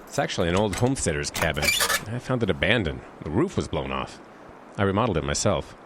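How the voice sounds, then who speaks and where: An actor playing a brooding, self-assured man in a radio drama, with a measured, poetic delivery. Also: sits low, animated, background noise. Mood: fond. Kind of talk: radio drama acting with narrated inner monologue.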